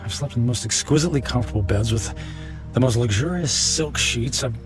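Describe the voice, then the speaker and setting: A man's voice: medium pitch, brisk, close to an everyday voice. Weary rich businessman over music male actor. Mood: miserable, desperate. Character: self-absorbed, weary rich businessman facing death. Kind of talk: radio drama acting. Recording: music behind the voice.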